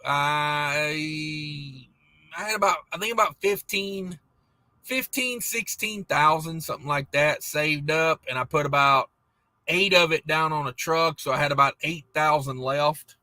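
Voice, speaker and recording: Trucker guy chatting on a livestream, bright voice, kinda high, dead dry mic, umms everywhere, going a bit hoarse. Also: unhurried, dry recording. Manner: rambling, laid-back, many fillers and restarts. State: losing his voice. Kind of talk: casual live chat reading viewer comments.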